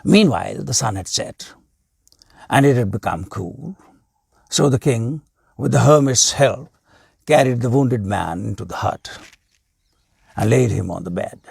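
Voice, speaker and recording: A man's voice: fairly low pitch, gravelly, darkish tone, breathy edge, no room sound, clean studio sound. A man reading a tale aloud as a storyteller. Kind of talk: read-aloud tale narration.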